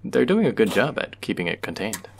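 A man's voice: lowish voice, very animated, brisk, gravelly, slight background noise. A man doing a teasing young barista. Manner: playful, teasing, chatty. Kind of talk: audio roleplay for a listener.

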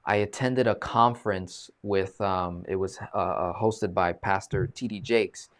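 A man in his thirties hosts a live video-call interview in a casual, animated way, leaning on fillers and restarts. His voice sits a little low. It is picked up by a webcam mic in a small room. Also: measured pace. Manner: animated, gestures a lot, many fillers. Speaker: host. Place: small room, plain green wall.

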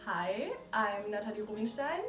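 A woman's voice: mid-range voice, expressive, normal speed, reverberant, recorded from a distance, music in the background. Amused teenage woman from Germany. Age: teens. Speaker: exchange student. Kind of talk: casual interview answers.

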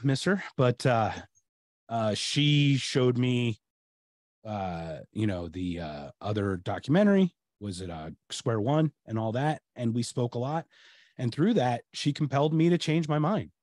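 A man's voice: mid-range voice, animated, unhurried, a little mellow, dry recording. Middle-aged American man, podcast host, rambling casual talker, dry video-call audio. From United States. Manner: rambling, many restarts and fillers. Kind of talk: casual interview chat. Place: home room, video call.

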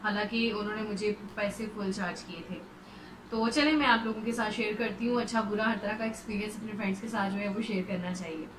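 A woman's voice: medium pitch, fairly even intonation, fast, far from the mic. Echoey woman vlogging at home. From Pakistan. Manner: chatty, mixes English phrases in. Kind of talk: casual vlog to camera. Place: bedroom at home, indoors. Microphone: phone camera at close range. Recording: echoey room, faint background hum.

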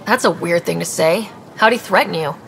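A woman playing a young, calm and direct space explorer in video game dialogue. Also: lowish voice, brisk, creaky, a little hiss or hum, poor audio. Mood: calm, firm. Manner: straightforward, calm.

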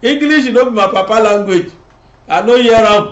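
A man's voice: high voice, moderate pace. A middle-aged Nigerian man hosting a political commentary show, talking animatedly and gesturing as he sits in a studio. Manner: animated, switching between Yoruba and English, gesturing. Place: indoor studio set. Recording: roomy, dull lo-fi sound.

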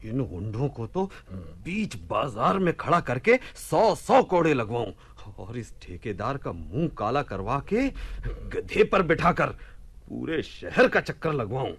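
An actor playing a hospitable, outspoken son with a courteous, animated manner in a radio play. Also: male voice, mid-range voice, takes it slowly, slightly rough, heavily acted. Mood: indignant. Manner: courteous, animated, indignant about injustice. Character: hospitable, outspoken son of a widow, Abul Hasan. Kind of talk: Hindi radio play acting.

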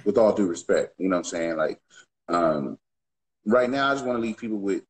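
A man in his thirties from the US West Coast, a music producer guest talking in a relaxed, slangy way over a webcam from his home studio. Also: deep voice, fairly quick. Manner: laid-back, slangy, relaxed. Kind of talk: casual livestream interview. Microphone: webcam on a video call.

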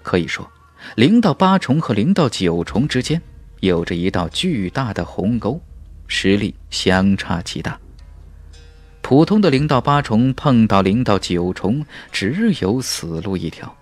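A man's voice: lowish voice, big pitch swings, a little slow, faint music behind. A man narrating a fantasy novel as an audiobook, in a dramatic, emphatic storytelling style.

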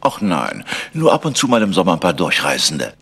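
An actor playing a humble, guarded church sexton in a German radio mystery. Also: male voice, low-pitched, wildly swooping pitch, brisk, gravelly, noisy background, exaggerated voice. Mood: earnest, careful. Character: deferential, evasive village church sexton. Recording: long church-like reverb.

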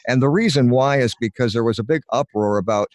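A man's voice: medium pitch, fast talker, no echo. An older man, a veteran voiceover artist, sharing tips in an easygoing interview over a video call from his home radio studio, with fillers as he explains. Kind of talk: casual interview talk. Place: home radio studio with tape shelves, indoors.

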